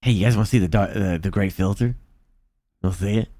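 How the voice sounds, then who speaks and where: A man's voice: sits low, fast, mellow, no room sound, studio quality. A man in his forties chatting casually with his stream viewers, joking and self-mocking. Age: 40s.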